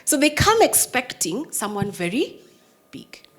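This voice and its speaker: A woman's voice: high-pitched, big pitch swings, a little slow, a bit bright. Earnest Kenyan woman telling courtroom stories. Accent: Kenyan English. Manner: strong, firm voice. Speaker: prosecutor, speaker giving a talk. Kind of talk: personal storytelling talk to an audience.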